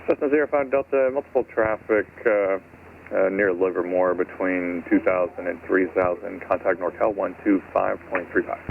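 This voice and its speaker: Narrow crackly radio, male controller, low-pitched with heavy fry, issuing traffic instructions. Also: fairly even intonation, normal speed. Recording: tinny, faintly noisy aviation radio.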